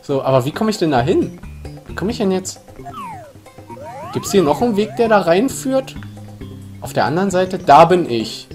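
A man's voice: high voice, sing-song, moderate pace, long pauses. A young man streaming a video game, joking and punning casually through a headset mic. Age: young adult. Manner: playful punning, laughs often, sudden shouts at the game. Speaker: let's play streamer. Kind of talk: casual gaming livestream chat. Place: small room indoors. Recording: game music behind.

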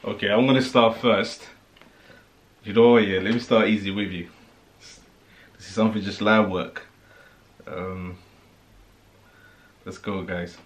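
A young man from Congo, a fiancé joining a casual vlog, teasing in relaxed banter while talking to a camera in a bedroom. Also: on the low side, measured pace, pauses often. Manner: teasing, laid-back banter. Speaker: guest, fiancé. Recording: loud music playing behind.

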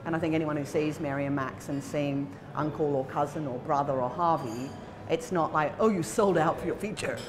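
An Australian woman in her forties talking conversationally in an interview as a film producer, in an animated, emphatic, informal manner. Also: deep voice, brisk, background noise. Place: indoor room by a bright window at a festival. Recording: chatter of people in the background.

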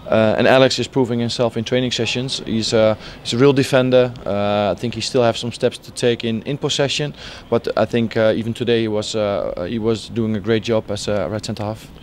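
A man in his forties speaks in a level, flat voice with little pitch movement, pausing often and restarting sentences as he searches for words. He stands outdoors by the pitch at a stadium, and a faint outdoor hum sits beneath his voice. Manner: halting, many fillers and restarts. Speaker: football head coach. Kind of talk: post-match interview.

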